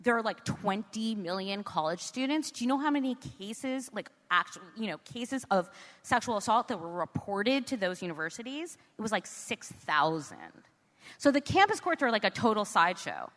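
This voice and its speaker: An American woman speaks as an interview guest in a large reverberant hall. Her pitch swings widely as she makes her points informally and emphatically, often putting them as rhetorical questions. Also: big pitch swings, moderate pace, a bit bright. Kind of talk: conversational interview. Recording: echoey hall with long reverb.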